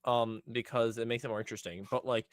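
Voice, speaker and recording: A man's voice: medium pitch, fairly even intonation, quick pace, no room sound. A man in casual podcast chat, recorded dry with little room sound. He teases and exaggerates his points with a sarcastic edge. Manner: playfully sarcastic, admits embellishing. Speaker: podcast co-host. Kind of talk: casual chat reviewing anime.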